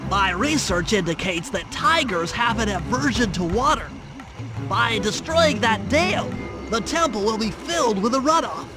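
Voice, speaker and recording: A very high, squeaky male voice for a nerdy young cartoon turtle. He speaks like a pedantic gadget expert in a video game, with music playing behind him. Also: animated, moderate pace. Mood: earnest, analytical. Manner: pedantic, technical phrasing.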